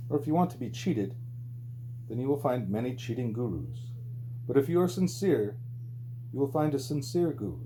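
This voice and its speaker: A man reading a spiritual book aloud as scripted audiobook narration, with a mellow voice. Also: low-quality recording. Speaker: audiobook reader. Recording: low hum and background noise.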